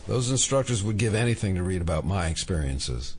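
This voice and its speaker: An actor playing a wry, laid-back FBI agent in an audio drama, with a dry deadpan manner. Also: male voice, low-pitched, brisk, slightly rough, full character voice. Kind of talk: naturalistic audio drama acting. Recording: faint background noise.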